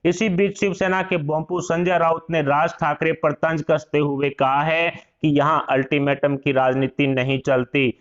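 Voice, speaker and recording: A man's voice: fairly high pitch, steady tone, unhurried, few pauses, lo-fi sound. A man reads scripted political news as a voice-over narrator.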